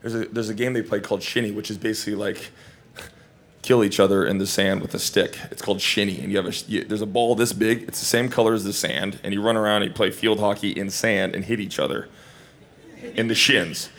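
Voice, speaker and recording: A man from Hawaii, an actor, answering questions at a Q&A in loose, rambling, animated anecdotes. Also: fairly low pitch, brisk. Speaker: actor and film guest at a Q&A. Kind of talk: casual Q&A storytelling.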